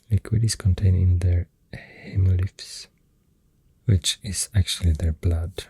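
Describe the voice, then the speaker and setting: A soft-spoken ASMR host with a Swedish accent, talking in a hushed, breathy, partly whispered voice, halting and gentle, close to the microphone. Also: sits low, no echo.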